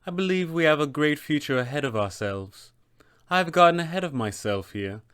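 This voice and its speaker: Man voicing a soft, formal woman diviner. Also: on the high side, expressive, a little fast, clear, resonant tone, no echo, clean studio sound. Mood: calm, mysterious.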